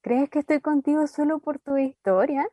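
A woman's voice: sits high, fairly even intonation, normal speed, dark tone, close to an everyday voice. A woman acting an inquisitive, guarded Chilean character in a naturalistic radio drama, with a conversational, questioning delivery. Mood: playful, guarded. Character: inquisitive, guarded woman named Amanda with a secretive past.

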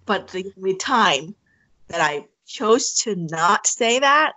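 A woman's voice: mid-range voice, expressive, takes it slowly, pushed and bright, no room sound. A woman from Thailand, speaking English with a Thai accent, answering interview questions in a hesitant way with many fillers and restarts. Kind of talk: casual interview conversation.

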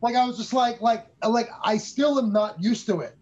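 An excitable man chats through a movie review, acting out his own reactions and swearing freely. His voice sits fairly high and sounds husky. The recording is dull and lo-fi. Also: normal speed. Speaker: podcast co-host. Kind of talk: casual movie review chat.

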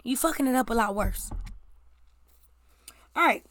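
A woman in her forties hosts celebrity gossip with a slightly raspy voice that still cuts through bright and forceful. She talks in a blunt, profane, ranting way. The sound is dry, with no room around it. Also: on the high side, lively intonation, unhurried, no room sound. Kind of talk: casual gossip commentary.

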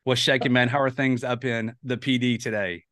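Male podcast host, bright voice, dry sound, loose and rambling. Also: mid-range voice, steady tone, normal speed, no echo. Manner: rambling, restarts often, chuckles. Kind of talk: casual interview chat.

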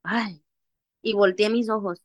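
A young adult woman from Mexico's Costa Chica, with a Costa Chica accent, telling her own story in an interview in an animated way. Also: mid-range voice, takes it slowly, no room sound, highs cut off. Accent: Costa Chica Mexican Spanish. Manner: animated, acts out remembered dialogue. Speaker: interview guest, activist. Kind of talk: personal storytelling in conversation.

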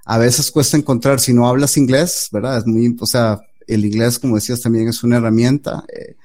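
A man's voice: mid-range voice, steady tone, a little fast, dark tone. A man answering as an interview guest, giving earnest advice with many hesitant "eh" fillers. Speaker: guest developer.

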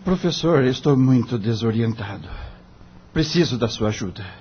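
A man's voice: mid-range voice, expressive, normal speed, husky, muffled recording. An actor playing an earnest, discouraged young male lawyer in a radio drama, respectful and pleading, with a Brazilian accent. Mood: dismayed.

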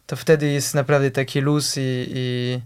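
Hesitant man with a smooth voice. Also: mid-range voice, flat delivery. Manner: hesitant, halting, heavy use of fillers. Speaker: musician, guest. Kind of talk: casual interview chat.

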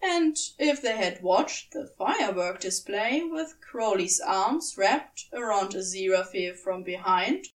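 A woman reading scripted fiction as an audiobook narrator, with a measured, storybook-like delivery. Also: mid-range voice, expressive, far from the mic, low-quality recording. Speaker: fan fiction audiobook reader.

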